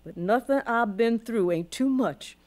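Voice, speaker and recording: An old grandmother from the American South in a radio drama, speaking slowly and deliberately. She is weary but proud, once enslaved, and tells her past in folksy Southern dialect with deep feeling. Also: lively intonation, a little mellow. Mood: tender, weary. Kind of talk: radio drama storytelling monologue.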